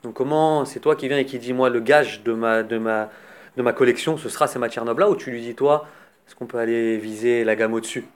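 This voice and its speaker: Mid-pitched man asking chatty questions. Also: brisk, smooth. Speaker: interviewer. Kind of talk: podcast interview.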